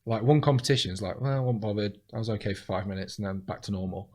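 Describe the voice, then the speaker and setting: A man talking in casual chat as a comedian guest, deadpan and blunt, swearing freely as he tells stories. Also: fairly low pitch, fast talker.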